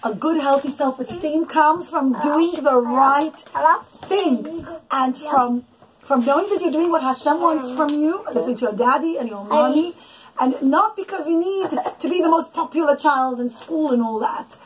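A middle-aged woman, a mother telling her children a bedtime story in an expressive, emphatic, instructive way, in a bedroom. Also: high-pitched, normal speed, low-quality recording. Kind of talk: animated storytelling with asides to children. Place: small children's bedroom, indoors. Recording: clicky home recording with background noise.

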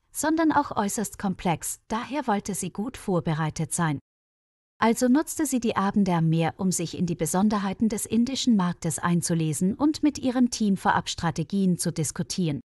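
A woman reading a scripted story aloud for language learners, with measured, clearly phrased delivery. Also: mid-range voice, darkish tone, dry recording, studio quality. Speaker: narrator of graded German stories for learners.

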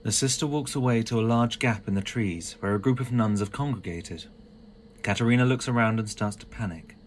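A man's voice: lowish voice, a little fast, slight background noise. A man reading scripted narration for an audio drama.

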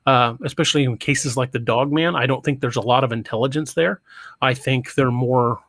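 A middle-aged man with a twang hosts a loose livestream talk, tripping over his own words before he lands a thought. His voice sits at an everyday pitch and sounds dry and close, with no room around it. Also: brisk, fairly bright tone, no room sound. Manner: stammering restarts, chatty and joking. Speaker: podcast host. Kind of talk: casual livestream chat. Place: home room.

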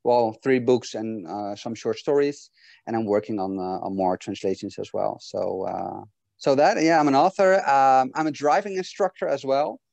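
A man's voice: mid-range voice, normal speed, gravelly, no room sound. A man in his forties from the Netherlands with a Dutch accent, chatting casually as a guest on a video call from home, with plenty of ums and restarts. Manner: relaxed, many fillers and restarts. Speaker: guest author. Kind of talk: casual interview chat over video call. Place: home room.

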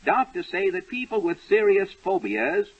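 Male mock-newsreel announcer, husky lively high voice, vintage muffled broadcast, brass music. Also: moderate pace. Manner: dramatic, declaiming. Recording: dull 1930s radio recording with music.

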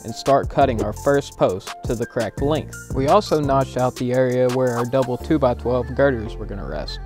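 A man's voice: mid-range voice, normal speed. Laid-back guy narrating a builder vlog, mid voice, music playing behind him. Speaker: builder and video host.

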